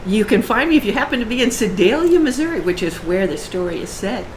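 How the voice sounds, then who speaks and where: A woman's voice: fairly high pitch, expressive, quick pace. A woman author chatting on a panel podcast, talkative and explaining at length. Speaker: author guest. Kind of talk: casual panel chat. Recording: roomy with faint hiss.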